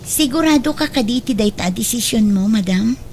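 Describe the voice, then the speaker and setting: A woman's voice: on the high side, lively intonation, normal speed, a little mellow, faint music behind. A woman playing a polite, dutiful assistant in an audio drama, deferential and helpful, with a Northern Philippine accent. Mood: polite, attentive. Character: polite, dutiful young female assistant to a wealthy madam.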